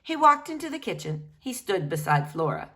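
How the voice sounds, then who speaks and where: A woman reading a children's novel aloud as expressive storytelling narration. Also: on the low side, moderate pace. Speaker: storybook reader.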